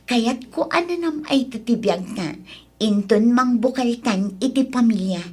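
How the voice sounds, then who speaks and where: Ambitious, sweet-talking young girlfriend: high voice with a husky edge, Ilocano accent, breezy coaxing, radio drama. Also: animated, measured pace, darkish tone. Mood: ambitious, dismissive.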